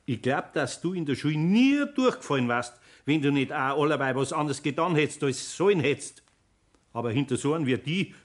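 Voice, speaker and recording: A man's voice: medium pitch, close to an everyday voice. Actor as homely Bavarian master craftsman. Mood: teasing. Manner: unhurried, homely, chuckling, gently admonishing. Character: kindly, sly older male master craftsman. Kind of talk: 1960s radio play acting.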